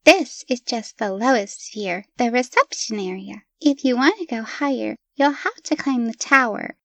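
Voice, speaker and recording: A woman's voice: on the high side, moderate pace, vocal fry, fairly bright tone, no room sound. A woman voicing an eerie, knowing child in a comic dub, explaining things in a detached, matter-of-fact way. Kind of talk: comic dub character acting.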